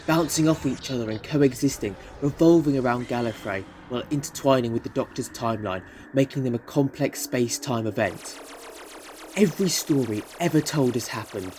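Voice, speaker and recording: A man reading scripted narration for an audio drama, with music playing behind him. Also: mid-range voice. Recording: music under the voice.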